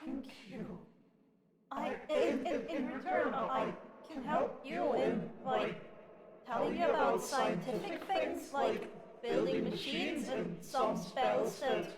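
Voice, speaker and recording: A woman's voice: high voice, takes it slowly, a loud crowd. A woman playing a lonely, polite spirit trapped in a book, hesitant and apologetic, in an improvised tabletop roleplay. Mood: hesitant, eager. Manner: hesitant, apologetic, soft-spoken pleading.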